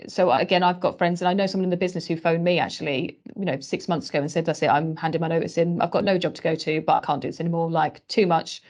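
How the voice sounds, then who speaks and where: A woman on a panel telling a story from work in a lively, brisk way. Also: fairly low pitch. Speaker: roundtable panelist. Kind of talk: casual panel discussion.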